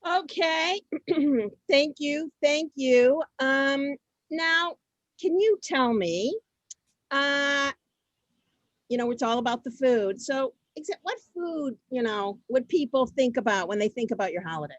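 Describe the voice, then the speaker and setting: A woman's voice: high voice, lively intonation, slow, no room sound, highs cut off. A woman hosting an amateur comic readers' theater, moving between scripted lines and ad-libs. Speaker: host of a comic contest.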